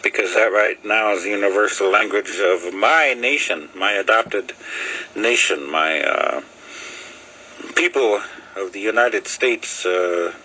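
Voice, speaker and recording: Man, first language lakota, halting rambling talk, lowish voice, bright edgy tone. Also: a little hiss or hum, rough recording. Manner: halting, searching for words, many fillers. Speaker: vlogger. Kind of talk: casual rambling talk to camera. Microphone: video camera mic.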